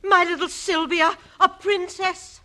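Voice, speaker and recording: A man's voice: very high-pitched, level tone, a little slow, bright, forceful tone, heavily acted. An actor playing a bossy laundress mother who is secretly a duchess, shrieking commands in 1940s radio acting style. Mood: flustered.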